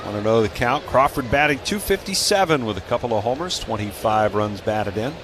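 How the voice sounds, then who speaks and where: American baseball announcer over clear background noise. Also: male voice, mid-range voice, expressive, a little fast. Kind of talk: live radio play-by-play commentary. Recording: clearly noisy live ballpark broadcast.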